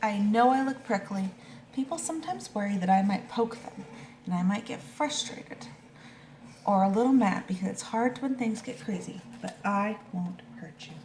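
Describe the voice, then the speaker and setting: A woman voicing a gentle, reassuring porcupine who helps others in a storybook. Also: mid-range voice, expressive, normal speed, lightly acted. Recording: echoey room with clear background noise.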